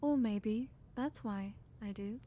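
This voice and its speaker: A woman playing a gentle, affectionate schoolgirl in a fan audio drama, with a soft, tender manner. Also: medium pitch, slow pace, a little hiss or hum, close to an everyday voice.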